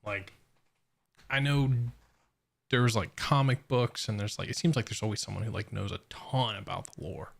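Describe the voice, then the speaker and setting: A man livestreaming a game, reacting casually and thinking aloud in a rambling, halting way. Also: fairly low pitch, gravelly. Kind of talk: casual live reaction and chat.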